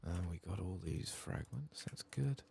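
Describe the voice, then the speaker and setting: A soft-spoken man murmuring ASMR commentary as he plays a video game. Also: low voice, animated, measured pace, vocal fry, slight background noise. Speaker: ASMR gaming streamer.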